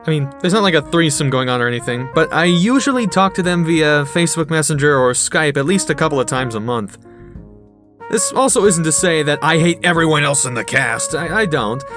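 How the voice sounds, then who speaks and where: A man's voice: fairly high pitch, expressive, a little fast, over music. A man in casual chat, answering questions as a cast member.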